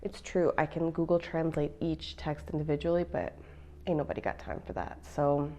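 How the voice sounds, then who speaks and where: A woman's voice: deep voice, animated, moderate pace, mellow, a little hiss or hum. An American woman in her thirties, a mom vlogging in a chatty, playful way straight to her viewers. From United States. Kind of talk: casual chat to camera.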